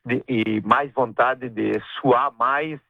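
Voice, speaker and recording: A middle-aged man with a gaúcho accent from southern Brazil, a businessman guest talking in a radio interview, rambling and halting with many restarts and tag questions. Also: medium pitch, steady tone, gravelly, no echo. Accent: Gaúcho Portuguese. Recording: tinny phone-line radio sound.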